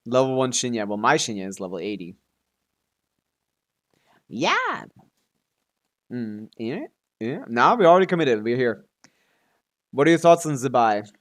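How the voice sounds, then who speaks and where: A man's voice: mid-range voice, big pitch swings, a little slow, long pauses, a bit bright. A man chatting casually as he livestreams a video game, rambling and complaining with plenty of swearing. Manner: profane, complaining. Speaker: live streamer playing a video game. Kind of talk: casual rambling gaming chat with viewers.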